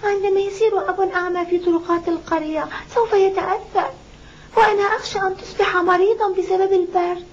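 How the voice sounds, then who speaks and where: An actress playing a devoted, dutiful little daughter in a dubbed cartoon, earnest and polite. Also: female voice, very high-pitched, fairly even intonation, quick pace. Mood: earnest, caring. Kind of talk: dubbed cartoon acting in formal Arabic. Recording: muffled old cartoon soundtrack with background noise.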